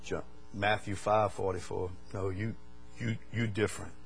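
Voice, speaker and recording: An older man from the United States preaching a sermon, swinging from loud, fervent stretches to quiet asides. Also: on the low side, fairly even intonation, normal speed, vocal fry, soft background music.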